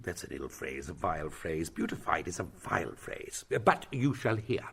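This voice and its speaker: A male actor plays an elderly royal counsellor in a Shakespearean drama, self-important and long-winded. His gravelly, husky voice rises and falls dramatically as he stretches out his words to make a point. He is an eager schemer who savours his own speech. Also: low-pitched, very animated, fairly bright tone, full character voice. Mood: pompous. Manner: pompous, rambling, theatrical delivery with drawn-out words. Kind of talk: Shakespearean stage-play acting.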